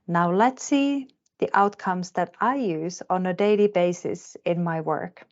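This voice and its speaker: A woman in her forties, originally from Finland, delivers a scripted lecture at an unhurried pace. Her speech is measured and deliberate. The recording sounds somewhat dull and lo-fi. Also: on the low side, a little mellow. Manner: measured, carefully paced delivery. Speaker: health economist.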